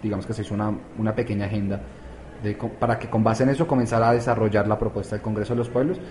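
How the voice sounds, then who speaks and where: A man's voice: lowish voice, fairly even intonation, very fast, a little mellow, slight background noise. A man from Colombia, answering interview questions as a youth activist, hesitant with many fillers and restarts.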